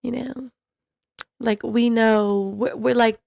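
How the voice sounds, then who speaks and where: A woman's voice: on the high side, fairly even intonation, slow, gravelly, a little mellow, no room sound. A young woman co-hosting a podcast, chatting casually with a giggly, rambling manner. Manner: giggly, rambling, self-deprecating. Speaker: podcast co-host. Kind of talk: casual chat.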